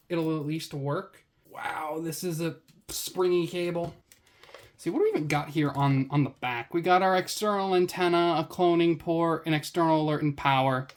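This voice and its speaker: A woman reviewing a gadget in a casual unboxing commentary, with a sarcastic, wry way of talking. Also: deep voice.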